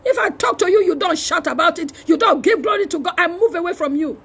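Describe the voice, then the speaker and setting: A woman with a Nigerian accent preaching a fiery charismatic sermon, forcefully exhorting and often shouting, with emphatic repeated phrases. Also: very high voice, lively intonation, fast. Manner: shouting delivery. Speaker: preacher and prophet.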